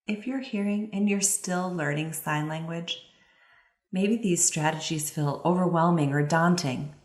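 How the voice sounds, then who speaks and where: A woman with a fairly low voice teaches in a clear, explanatory manner. She speaks expressively and with emphasis, as an instructor advising parents. The recording is roomy and echoey. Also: unhurried. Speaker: instructor, literacy expert giving advice to parents. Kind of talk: instructional talk with expressive read-aloud examples.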